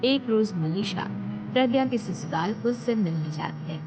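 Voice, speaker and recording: A woman's voice: medium pitch, expressive, a little slow. A woman narrating a scripted story as a storyteller. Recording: dull audio under loud music.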